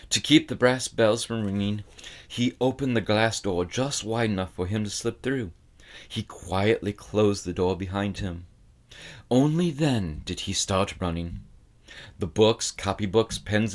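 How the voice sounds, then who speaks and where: A man reading a children's fantasy novel aloud as scripted narration, with dramatic, deliberate phrasing. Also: lowish voice. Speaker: audiobook reader.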